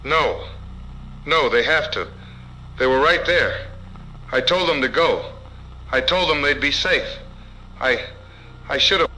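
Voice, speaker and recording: Desperate father searching for family, male actor, halting and slow, radio drama, faint noise behind a radio transmission. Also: mid-range voice, lively intonation, pushed and bright, full character voice, low-quality recording. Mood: shattered denial. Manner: halting, broken, pleading. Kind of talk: radio audio drama acting.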